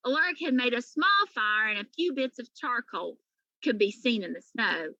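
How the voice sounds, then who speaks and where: Woman, highish bright voice, expressive children's read-aloud, dry and slightly dull recording. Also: moderate pace, no room sound. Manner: animated, emphatic storytelling. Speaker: teacher reading to pupils.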